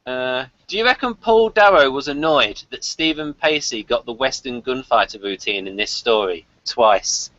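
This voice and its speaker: A man's voice: medium pitch, animated, normal speed, few pauses, fairly bright tone, no echo, lo-fi sound. A man co-hosting a casual review chat, with a wry way of talking as he mixes prepared jokes with asides. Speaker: podcast co-host.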